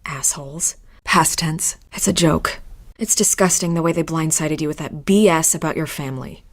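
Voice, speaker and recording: An actress voicing a persistent, candid news reporter in a video game, blunt, informal and persuasive. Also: female voice, low-pitched, normal speed, no echo. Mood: indignant, sympathetic.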